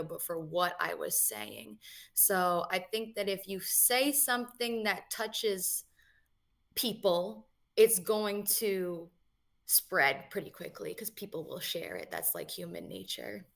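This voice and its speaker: Guest: young woman, lowish voice, relaxed and rambling, video-call sound. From New England, USA. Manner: relaxed, chatty, many fillers. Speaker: guest musician. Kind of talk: casual interview answers.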